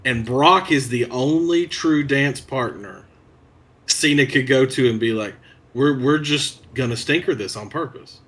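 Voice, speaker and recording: A man co-hosting a casual podcast, giving measured, knowing commentary. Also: medium pitch, fairly bright tone. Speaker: podcast co-host. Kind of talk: casual wrestling podcast chat.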